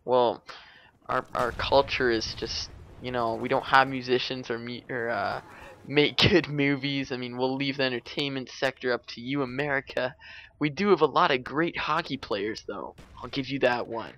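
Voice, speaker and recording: A man's voice: medium pitch, steady tone, moderate pace, fairly bright tone. A young adult man from Canada giving casual, rambling commentary over gameplay, chatty and full of fillers and restarts. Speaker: gaming commentator.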